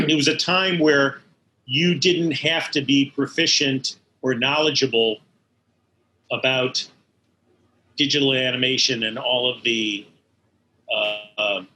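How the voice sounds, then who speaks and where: An older American man recalling his work as an interview guest, in a halting way that circles back over words. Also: medium pitch, steady tone, sharp tone, slight background noise, rough recording. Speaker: interview guest, animation studio veteran. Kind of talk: conversational interview recollection.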